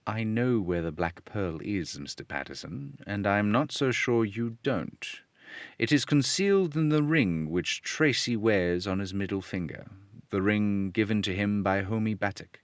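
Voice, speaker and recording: A man doing a menacing hypnotist villain from an old radio drama, voice very low and unhurried, coaxing his victim toward sleep in a slow, soft, deliberate murmur. The sound is close and dry, with no room around it. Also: lively intonation, dry recording.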